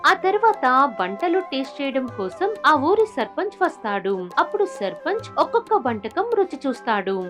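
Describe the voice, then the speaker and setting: A woman storyteller with a high voice. She narrates a scripted moral tale with very lively, swinging intonation, over light music. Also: moderate pace, few pauses.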